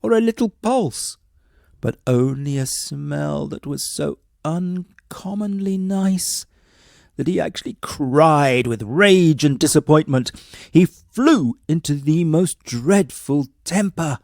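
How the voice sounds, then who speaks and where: Mellow male bedtime storyteller, dry sound. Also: a little high, animated, slow pace, creaky, no room sound. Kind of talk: scripted bedtime story narration.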